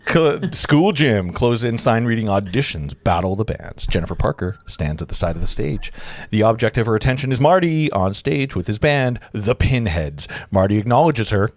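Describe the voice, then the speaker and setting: A man's voice: lowish voice, sing-song, normal speed, no echo. A man reading screenplay directions as the narrator of a table read, informally, with casual asides.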